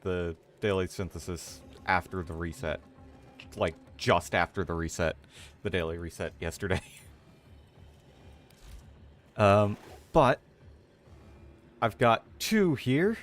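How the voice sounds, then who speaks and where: Casting: male game streamer and lore reader, lowish voice, very lively intonation, casual yet theatrical when reading. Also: takes it slowly, pauses often, soft background music.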